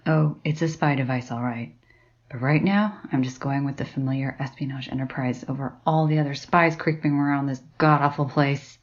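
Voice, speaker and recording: A woman's voice: very deep voice, fairly even intonation, normal speed. A woman playing an exasperated, suspicious librarian in a fiction podcast, sardonic, sweary and grumbling. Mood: dry, dismissive. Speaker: actor in an audio drama. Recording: dull lo-fi sound with clear noise.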